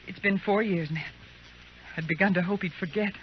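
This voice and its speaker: A woman's voice: medium pitch, moderate pace, natural voice. Anxious, urgent frontier wife played by a woman. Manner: earnest, urgent, dramatic. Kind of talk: old-time radio drama acting. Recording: dull, noisy old radio recording.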